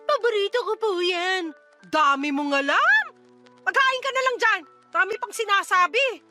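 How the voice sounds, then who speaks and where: A woman's voice: very high-pitched, animated, moderate pace, over music. A woman playing a bitter, exhausted mother who scolds and nags sarcastically in a radio-style drama. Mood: irritated.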